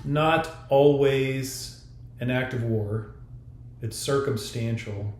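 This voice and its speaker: A man speaking as an author in a podcast discussion, explaining haltingly with pauses to choose his words. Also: mid-range voice, a little mellow, faint music behind. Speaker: author discussing own story. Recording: roomy, echoey sound.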